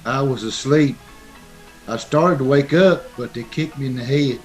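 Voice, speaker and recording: An American man playing a simple, timid old soldier drifter in a radio Western, meek and halting. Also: medium pitch, soft background music, natural voice. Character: simple, timid, homely Yankee veteran drifter.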